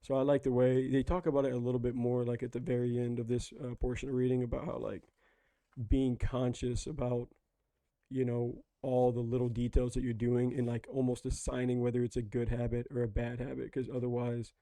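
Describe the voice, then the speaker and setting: A hoarse man chatting casually about a book with friends, rambling with lots of fillers. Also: mid-range voice, flat delivery, brisk, dark tone, dry recording. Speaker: book club host.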